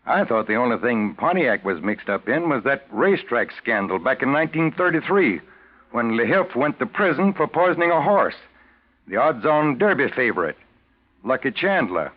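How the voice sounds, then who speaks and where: Tough private detective, matter-of-fact, slangy, animated intonation, 1940s radio acting, narrow tinny recording. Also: male voice, medium pitch, moderate pace. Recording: thin phone-like 1940s radio sound.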